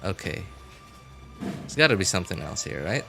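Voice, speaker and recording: Male streamer, lowish lively voice, casual off-the-cuff gameplay commentary, halting, faint music behind. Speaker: game streamer.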